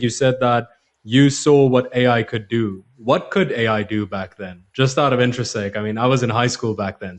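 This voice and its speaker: A man hosting a podcast interview, asking relaxed, curious questions. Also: medium pitch. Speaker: podcast host.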